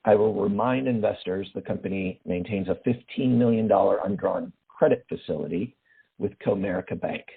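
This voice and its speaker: A man, a finance executive, reading a formal financial report in a steady manner over a phone line. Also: on the low side, moderate pace, gravelly, husky.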